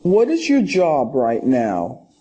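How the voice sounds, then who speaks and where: A man's voice: medium pitch, huge pitch swings, takes it slowly, mellow, a little hiss or hum. A man playing an interviewing officer, asking formal, direct, scripted questions. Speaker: interviewing officer in a role-play.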